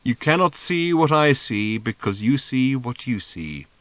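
A man voicing a cryptic, mournful old male seer who delivers slow, drawn-out, sing-song pronouncements. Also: medium pitch, expressive, a bit bright, dry recording.

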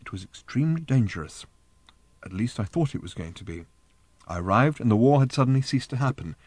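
Guy reading as worried mum in an audiobook, keeps her voice calm and quiet but insistent, very dry sound. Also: medium pitch, a little fast, slightly rough, dry recording. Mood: calm explaining. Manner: quiet, controlled, persistent. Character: calm, earnest, insistent mother pleading with daughter.

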